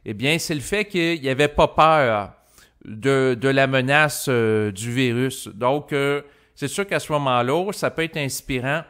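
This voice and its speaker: A man from Quebec with a Québécois French accent, speaking an unscripted reflective monologue to listeners in a halting, roundabout way with many hesitations. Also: mid-range voice, a bit bright, clean tone. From Quebec, Canada. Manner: halting, roundabout, with frequent hesitations and long lead-ins. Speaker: host of spiritual self-help recordings.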